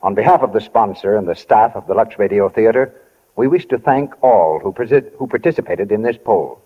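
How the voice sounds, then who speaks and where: Husky American announcer, muffled vintage radio. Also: male voice, fairly low pitch. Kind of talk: scripted 1940s announcing.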